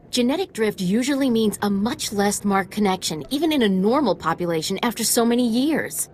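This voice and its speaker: A woman's voice: medium pitch, slight background noise, lightly acted. A woman acting an earnest, idealistic young female scientist in a video game, speaking expressively. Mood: intrigued.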